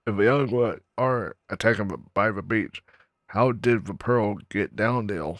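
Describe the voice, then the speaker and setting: A man doing a casual game playthrough, reading dialogue aloud in a low-key, drowsy way. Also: unhurried, no room sound. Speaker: gamer on a playthrough. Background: faint game music.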